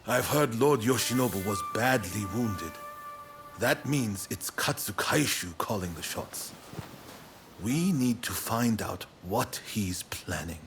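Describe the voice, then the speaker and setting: A man acting a composed, pragmatic military leader in a game cutscene, matter-of-fact and controlled, over background rumble. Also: lively intonation, takes it slowly, over music. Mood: firm, pragmatic.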